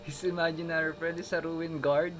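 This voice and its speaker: A woman's voice: deep voice, level tone, fairly quick. A woman with a Filipino accent, a native Tagalog speaker, giving casual livestream commentary while playing a game. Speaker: game streamer.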